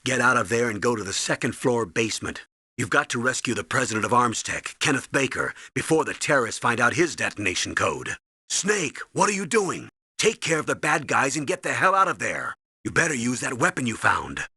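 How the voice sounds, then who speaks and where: A man's voice: medium pitch, big pitch swings, moderate pace, bright, forceful tone, breathy edge, dry recording, studio quality, heavily acted. A man playing a stern older American military colonel in a video game, giving clipped, authoritative mission briefings. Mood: urgent.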